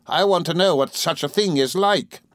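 Storybook villainess, played by a man: imperious young gentlewoman, raised pitch, cutting contempt, fierce and unrelenting, dry sound. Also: lively intonation, measured pace, dry recording, natural voice. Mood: menacing, furious. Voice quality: cold, stern, sharp tone. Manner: contemptuous, resolute, theatrically fierce. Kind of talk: dramatised classic novel reading.

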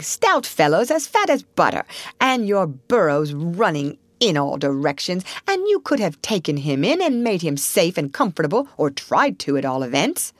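A woman's voice: on the low side, no echo. A woman voicing a breezy, hearty male otter who talks expansively in a storybook. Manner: hearty, talkative, expressive storytelling.

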